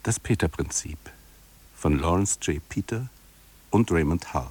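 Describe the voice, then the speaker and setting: A man announces a title and its credits from a script. His voice is very low in pitch. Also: animated, a little slow, darkish tone, husky.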